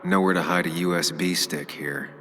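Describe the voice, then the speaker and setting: Cautious brooding american investigator, serious and clipped, deep tense voice, game dialogue. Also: male voice, steady tone, moderate pace, bright, forceful tone, big-room sound, soft background music. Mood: guarded, focused. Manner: terse, serious. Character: brooding, cautious adult male journalist-detective. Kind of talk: video game acting.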